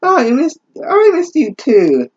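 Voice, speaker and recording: A man's voice: very high-pitched, wildly swooping pitch, a little slow, muffled recording, pitched above the performer's own voice, exaggerated voice. A man voicing a lovestruck anime character in a gushing, exaggerated, syrupy sweet way.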